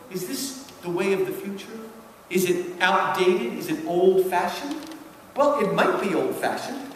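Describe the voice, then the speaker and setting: Guy lecturing, really emphatic, high lively voice, super echoey hall. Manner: emphatic, repeats points, rhetorical questions. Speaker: lecturer, literary commentator. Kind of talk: animated public lecture. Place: large hall before an audience. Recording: echoey hall, distant mic, faint noise.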